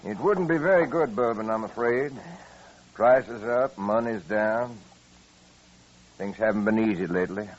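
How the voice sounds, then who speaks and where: Low-voiced, downcast old frontier father. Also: unhurried, pauses often. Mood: apologetic, glum. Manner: plaintive, courteous. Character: downcast, hard-up old frontier father, courteous but bitter. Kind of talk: old-time radio western acting. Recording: muffled vintage radio recording.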